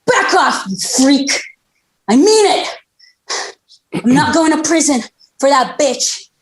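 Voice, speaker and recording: An actor playing a bitter, cornered music producer, stammering and strained with desperation at a table read. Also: male voice, very high voice, expressive, slow, a little hiss or hum, exaggerated voice, low-quality recording. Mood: desperate, threatening.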